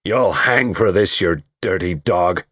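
A man's voice: low-pitched, expressive, slow pace, fairly bright tone, dry recording, clean studio sound, heavily acted. A man voicing a blustering middle-aged party host who speaks heavily and agitatedly. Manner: heavy, agitated.

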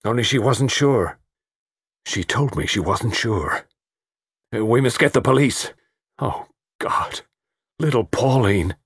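Bitter accuser for a mystery audiobook, male reader acting: heavy, thick-tongued, muttering, emotional, husky. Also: mid-range voice, expressive, unhurried, dry recording, studio quality, pitched above the performer's own voice. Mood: shaken, grieving. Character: grey-faced heavy-spoken man, bitter, accusing.

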